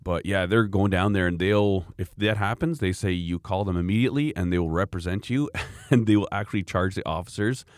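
A man talking in a rambling, animated way in casual chat, laughing often. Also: low-pitched, a little fast, no room sound.

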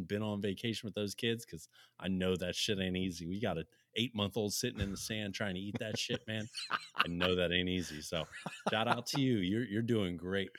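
Man, thirty, podcast co-host, laid-back and rambling, low voice, dry recording. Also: fairly bright tone, no room sound. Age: 30s. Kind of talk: casual sports podcast chat.

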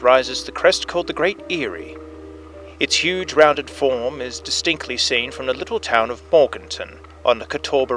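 A man playing an earnest head police inspector, narrating a storybook tale in formal, measured fashion, as if on an old phonograph. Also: medium pitch, a bit bright, poor audio. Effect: old phonograph recording. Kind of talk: dramatic first-person storybook narration. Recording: narration over music, phonograph effect. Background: music.

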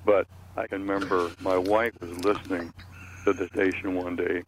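A man's voice: low-pitched, level tone, moderate pace, rough recording. An elderly man in his eighties reminiscing casually in a rambling way, often repeating his words. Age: 80s. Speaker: panelist. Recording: faint background noise.

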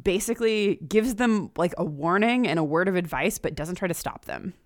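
A woman's voice: on the low side, expressive, moderate pace. A woman in a casual, informal discussion, speaking with enthusiasm as a podcast host. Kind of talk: casual chat.

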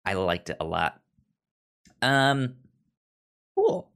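A man's voice: medium pitch, big pitch swings, very slow, a bit bright, smooth. A man co-hosting a podcast in a casual book-chat style, often stammering and repeating syllables and words. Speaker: podcast co-host.

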